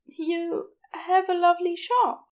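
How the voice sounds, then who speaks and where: A woman voicing a sweet, shy, polite angelic man in an audiobook story, hesitant and softly gushing. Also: extremely high pitch, fairly even intonation, slow pace, pitched above the performer's own voice. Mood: nervous, polite.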